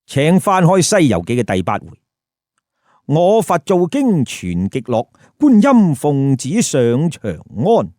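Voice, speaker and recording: A man's voice: fairly high pitch, wildly swooping pitch, slow pace, a little mellow, no room sound, studio quality. A man with a Hong Kong Cantonese accent telling a story with colloquial, emphatic commentary and rhetorical questions. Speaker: storyteller host.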